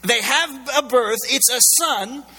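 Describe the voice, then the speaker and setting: A man's voice: extremely high pitch, slow pace, sharp tone, breathy edge. A man with an American accent preaching a sermon in an emphatic, colloquial way, repeating phrases, his voice scratchy and strained. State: scratchy, strained voice going hoarse. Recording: long hall reverb.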